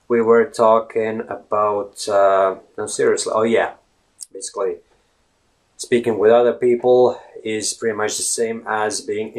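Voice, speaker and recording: A man in his thirties from Latvia, a native Latvian speaker with a Latvian accent, talking casually to the camera and rambling, often asking "right?". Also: fairly low pitch. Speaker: language blogger.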